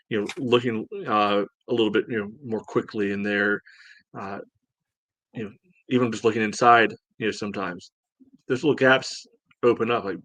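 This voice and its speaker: A man chatting casually as a sports podcast host, breaking down play in a halting, rambling way full of verbal padding. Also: fairly low pitch, a bit bright, no echo. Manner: halting, rambling delivery peppered with "you know". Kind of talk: casual sports analysis chat.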